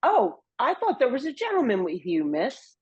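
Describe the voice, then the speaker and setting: A woman's voice: a little high, huge pitch swings, moderate pace, slightly rough, dull audio, full character voice. A woman playing a deferential, plain-spoken lodging-house servant in a radio play.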